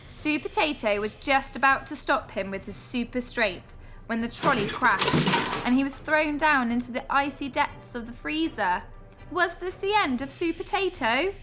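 A woman reading a children's storybook as an expressive storyteller. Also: sits high, normal speed. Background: music.

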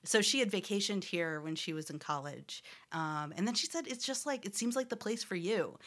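A woman in her thirties speaks in a slightly low, accent-free American voice shaped by radio training. She talks easily and openly, laughing often as she tells her story. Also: lively intonation, moderate pace, fairly bright tone. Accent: none, neutral American. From Louisiana, raised in upstate New York. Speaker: audio journalist guest. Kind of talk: casual interview conversation.

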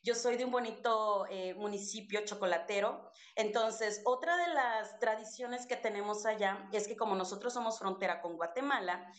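Woman, Mexican accent, from Chiapas, chatty storyteller, mid pitch, echoey room. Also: normal speed, hardly any pauses. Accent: Mexican Spanish. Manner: chatty, warm storytelling with frequent fillers. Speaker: podcast guest voice. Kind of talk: casual storytelling chat.

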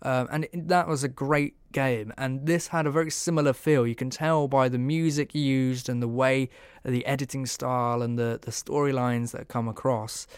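Male podcast host: casual reviewer, meandering delivery, clear voice, dry recording. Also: mid-range voice, fairly even intonation, moderate pace, no echo.

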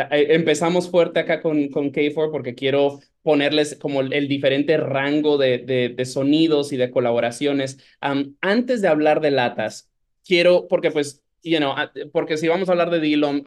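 A man with a fairly high voice hosts a relaxed interview. He mixes English phrases into his speech and feels his way through sentences, repeating words as he goes. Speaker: podcast host. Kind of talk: casual interview, mixing Spanish with English phrases.

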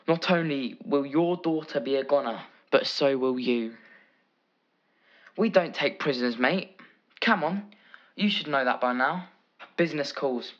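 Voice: mid-range voice, a bit bright, lightly acted. A dull, lo-fi recording of an actor playing a cocky British kidnapper. The speech is colloquial and taunting, full of menace, in an audio drama. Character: menacing, cocky kidnapper making a threatening phone call. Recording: muffled, lo-fi sound.

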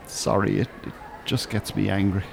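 An actor playing a bitter, pedantic older Irish retired English teacher in an audio drama, dry and well-spoken. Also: male voice, lowish voice, little pitch movement, takes it slowly, gravelly, noisy background, full character voice. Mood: bitter, angry.

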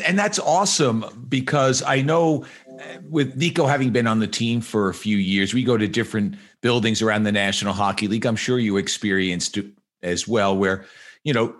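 A man's voice: mid-range voice, fairly bright tone. A man hosting an interview, asking questions fluently and with enthusiasm.

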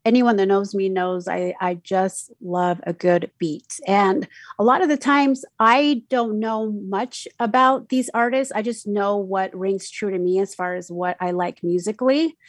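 A woman's voice: mid-range voice, no room sound. Casting: middle-aged radio DJ woman, Mexican Spanish accent, chatty, fillers and restarts, dry close sound. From Mexico City. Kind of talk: casual interview answers.

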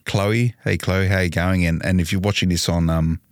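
A man's voice: low voice, fairly even intonation, moderate pace, dry recording, clean studio sound. An Australian man co-hosting a podcast, jokey and rambling, teasing his co-host in casual banter.

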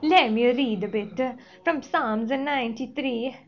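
A woman's voice: a little high, slow pace, faint music behind. A woman playing a stern, pompous male Pope in a comedy sketch, speaking formally. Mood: solemn. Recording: dull, lo-fi audio.

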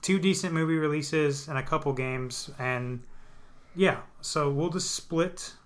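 A man's voice: fairly high pitch, unhurried. Laid-back young man with many fillers. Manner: relaxed, hesitant, lots of fillers. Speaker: podcast co-host. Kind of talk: casual chat.

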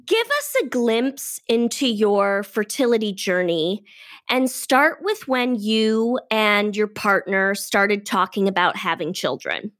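A woman's voice: medium pitch, lively intonation, slow, fairly bright tone. A woman from Detroit with a nasal voice, hosting an interview with eager, filler-heavy questions. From Detroit, USA. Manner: enthusiastic, frequent "like" fillers. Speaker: podcast host. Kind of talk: casual interview chat.

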